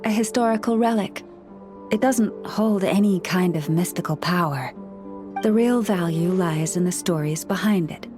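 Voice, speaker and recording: An actress playing a gentle, scholarly young priestess in a video game, speaking in a formal, measured, courteous way. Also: female voice, fairly low pitch, lightly acted. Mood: gracious, courteous. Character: gentle, scholarly young woman, a nature-loving moon priestess. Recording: music behind the voice. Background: background music.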